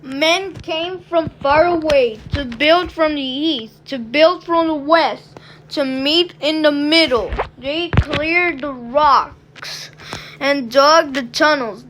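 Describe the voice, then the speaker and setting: A woman reading a picture book aloud expressively, in deliberate, emphatic phrases with pauses between short lines. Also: high-pitched, fairly bright tone, slight background noise, low-quality recording. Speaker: storybook reader.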